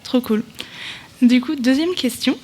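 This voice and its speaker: A young woman hosting a live student radio interview, asking questions in a casual, brisk way. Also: high-pitched, a little mellow. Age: young adult. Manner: casual, brisk, laughs along. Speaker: host.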